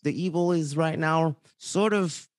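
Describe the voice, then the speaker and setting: A man's voice: fairly high pitch, a little slow, no echo. Earnest man, dry sound. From Haiti. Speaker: guest. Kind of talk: casual interview chat.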